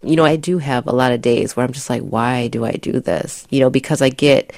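A woman's voice: rumbling low, a little fast, darkish tone, no echo, clean studio sound. A woman with an American accent giving an earnest first-person account as a guest speaker. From United States, Midwest.

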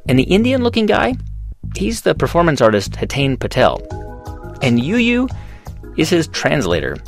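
A male radio host tells the story with an animated, rising and falling voice, then moves into easy interview questions. A faint music bed runs underneath him. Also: fairly high pitch, normal speed, gravelly. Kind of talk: scripted narration and interview questions.

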